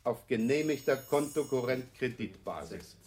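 Ein Schauspieler spielt in einem Hörspiel einen pflichtbewussten, verliebten Bankangestellten, nüchtern und belehrend. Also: male voice, medium pitch, lively intonation, moderate pace, a little mellow, lightly acted. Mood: excited. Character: dutiful, lovestruck male bank clerk, earnest and pedantic. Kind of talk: radio play acting.

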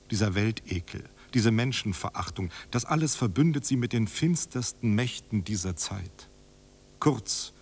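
A man's voice: lowish voice, slightly rough, full character voice. A man in a German radio drama playing a refined, idealistic friend who pleads solemnly and formally, as if reading a letter aloud. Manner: solemn, imploring, rhetorical, formal.

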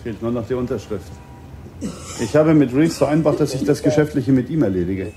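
An actor playing a composed, quietly probing adult man in a German radio play, understated and conversational. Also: mid-range voice, brisk, crowd noise, lightly acted. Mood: businesslike. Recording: noisy ambient sound behind the voice.

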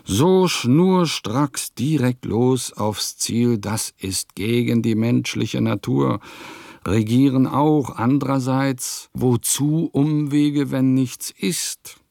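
A man's voice: medium pitch, slow, vocal fry, darkish tone, dry recording, studio quality. An actor playing an eccentric, self-important nineteenth-century composer musing theatrically over his diary in a radio play.

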